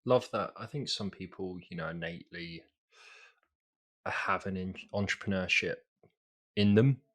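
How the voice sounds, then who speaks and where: Male podcast host, very low voice, friendly, affirming. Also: unhurried, a bit bright. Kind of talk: casual podcast interviewing.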